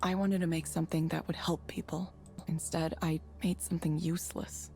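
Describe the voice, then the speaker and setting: A woman's voice: deep voice, level tone, moderate pace, gravelly, mellow, faint music behind. A woman voicing a troubled, self-doubting engineer in a visual novel game, earnest, downcast and introspective. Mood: sad, despairing.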